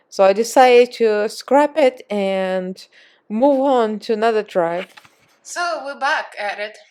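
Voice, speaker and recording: A woman with a Russian accent chats casually while hosting a makeup tutorial. Her voice sits at a middling pitch, and she talks openly, teasing herself as she goes. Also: a little mellow. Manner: chatty, self-mocking, candid. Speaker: makeup vlogger.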